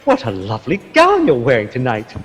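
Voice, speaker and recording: Man acting a courtly flatterer, reverberant hall. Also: mid-range voice, fairly quick, slightly rough, heavily acted. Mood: flattering. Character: suave, flattering party guest, gallant and effusive. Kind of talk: radio drama acting. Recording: long hall reverb, music underneath.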